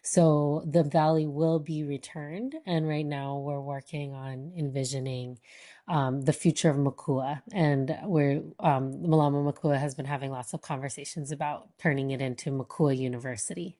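A woman community organizer giving a steady, informative account, with occasional fillers. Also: low voice, moderate pace.

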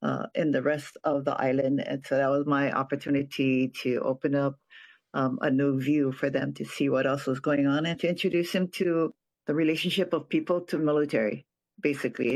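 An older man from Hawaii, a retired professor, giving a reflective personal account with frequent pauses and restarted phrases. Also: medium pitch. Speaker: retired professor and nonprofit board president.

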